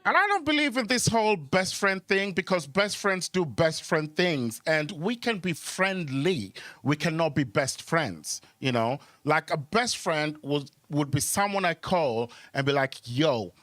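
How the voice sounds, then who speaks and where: Guy from kenya doing standup, slightly high voice, dry mic sound, chatty, stumbles and repeats words. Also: animated, unhurried, few pauses, a bit bright, no room sound. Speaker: stand-up comedian. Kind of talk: live stand-up comedy, conversational storytelling with crowd work. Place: on stage at a comedy club. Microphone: stage microphone.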